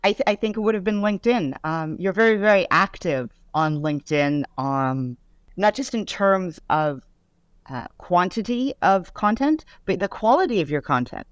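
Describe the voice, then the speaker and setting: Low-voiced chatty woman podcast host. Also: lively intonation, normal speed, smooth, no room sound, studio quality. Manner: warm, enthusiastic, chatty with fillers. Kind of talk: casual interview conversation.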